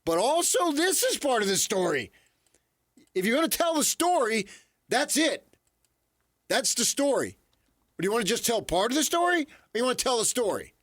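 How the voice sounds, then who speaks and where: High-voiced, animated male sports co-host. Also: moderate pace, pauses often, dry recording, studio quality. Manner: emphatic, repeats his points. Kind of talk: opinionated sports debate.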